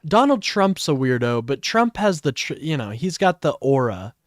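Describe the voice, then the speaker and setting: A man talking casually on a livestream, blunt and sarcastic, swearing freely. His pitch swings a lot as he reacts, and the sound is close and dry with no room echo. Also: very animated, normal speed. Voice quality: says his voice is high-pitched. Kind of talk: casual political commentary.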